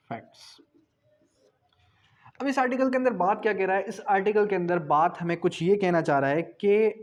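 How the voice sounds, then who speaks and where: High-pitched man lecturing. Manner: didactic, asks and answers own questions; repeats key phrases for emphasis. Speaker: law lecturer. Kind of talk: explanatory exam-prep lecture.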